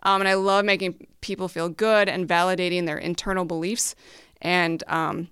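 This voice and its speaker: A woman talks on a podcast in a smooth, slightly low voice. She rambles with warm enthusiasm and laughs now and then. The sound is dry and close. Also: little pitch movement, normal speed, no room sound. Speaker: podcast host and astrologer. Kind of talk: casual conversation.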